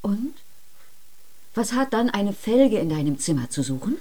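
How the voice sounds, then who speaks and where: Fussy middle-aged mother, coaxing, gently insistent, lively swooping intonation, radio drama. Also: medium pitch, a little slow. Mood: worried, insistent. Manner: coaxing, insistent, tag questions.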